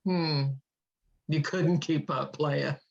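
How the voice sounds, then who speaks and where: A woman's voice: low voice, fairly even intonation, takes it slowly, vocal fry, a little mellow, lo-fi sound, full character voice. A woman playing a witty, teasing poet visiting an old lover in a script read, with an American accent and slangy, playful delivery. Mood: teasing, reflective. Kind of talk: informal screenplay table read.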